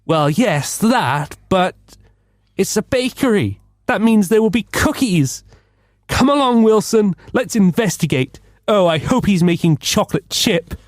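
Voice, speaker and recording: A man's voice: sits high, lively intonation, a little slow, dry recording. A man acts out a self-important male detective in a comedic radio drama. He is eager and bumbling, with a pompous delivery in a lightly raised register. The sound is close and dry. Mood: inquisitive, then childishly excited.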